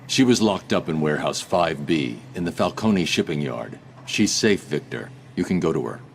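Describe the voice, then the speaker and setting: Brooding male vigilante hero, low-pitched, terse, grave, controlled, video game cutscene. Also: expressive, normal speed, gravelly, slight background noise, full character voice. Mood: grave, reassuring.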